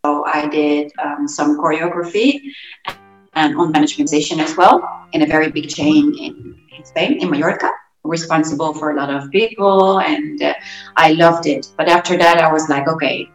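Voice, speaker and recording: A woman from the Netherlands, a guest telling her life story in an animated, self-correcting way. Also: sits low, normal speed, dull audio. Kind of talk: casual interview storytelling. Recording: roomy echo, faint music.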